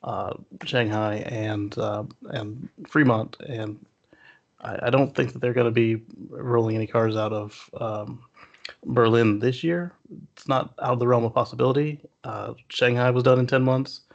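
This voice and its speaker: A man with a gravelly, fairly middle-pitched voice talks through news casually as a show co-host. He speaks haltingly, and the audio is a bit dull and lo-fi. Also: fairly even intonation.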